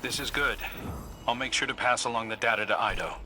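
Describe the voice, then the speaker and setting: An actor playing a regretful, reassuring mentor in a video game, with a husky, plain-spoken, sincere delivery. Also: male voice, mid-range voice, fairly quick, background noise, natural voice. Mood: matter-of-fact. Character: regretful, reassuring male mentor with a troubled past. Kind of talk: video game dialogue acting.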